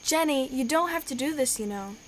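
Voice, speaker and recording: A woman's voice: sits high, expressive, fairly quick. A young female performer playing a protective, scolding girl in an amateur radio drama. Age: child.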